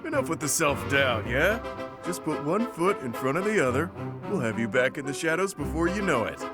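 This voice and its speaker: A man's voice: on the high side, very animated, moderate pace, over music. A man playing a cocky, blunt young brawler in a video game, talking in casual slang. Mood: impatient. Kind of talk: English video game voice acting.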